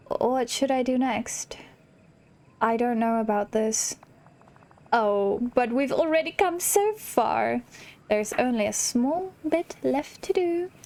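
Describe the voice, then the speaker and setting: A woman's voice: on the high side, darkish tone. A woman hosting a casual let's play, commenting on the game and reading its dialogue aloud. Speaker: gaming channel host.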